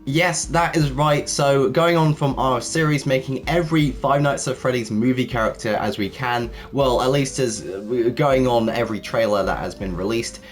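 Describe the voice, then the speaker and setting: Slightly raspy man hosting a tutorial. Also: mid-range voice, normal speed, few pauses. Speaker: host of a Minecraft build tutorial series. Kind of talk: video tutorial narration. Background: background music.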